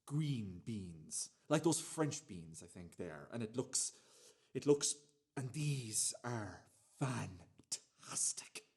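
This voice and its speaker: A fussy, defensive man played by a male actor boasts in a radio drama monologue. His husky, breathy voice swings animatedly, with halting, emphatic repetitions. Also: on the low side, animated, exaggerated voice.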